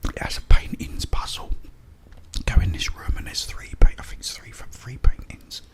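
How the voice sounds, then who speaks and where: Male ASMR host, whispered and breathy, casual meandering delivery, unscripted. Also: moderate pace.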